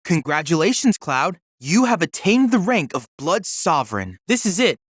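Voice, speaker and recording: A youthful android research assistant from a video game. The delivery is lively and melodic, with careful, bookish diction and an eager, courteous temper. The sound is close and very dry. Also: male voice, a little high, moderate pace, husky, no echo, full character voice. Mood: congratulatory, formal. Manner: formal, articulate, bookish phrasing. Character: polite, precise, eager boy android researcher and guide. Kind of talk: scripted video game character dialogue.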